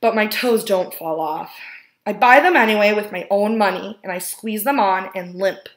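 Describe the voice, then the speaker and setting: A woman reading a children's picture book aloud as a storyteller, expressively and with deliberate emphasis on key words. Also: medium pitch. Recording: roomy, echoing indoor sound.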